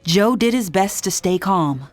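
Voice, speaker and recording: A woman reading scripted narration for a children's read-along storybook in an expressive, dramatic way. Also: mid-range voice, a little slow, shouting. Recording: music behind the narration.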